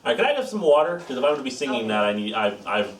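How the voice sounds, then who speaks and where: A man's voice: mid-range voice, sing-song, rapid-fire, slight background noise. A young man trading self-mocking jokes in casual chat in a studio room, picked up by a distant camera mic. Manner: self-mocking, giggly banter. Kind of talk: joking behind-the-scenes chat. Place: indoor film studio room with couch. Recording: roomy studio, distant mic, chatter behind.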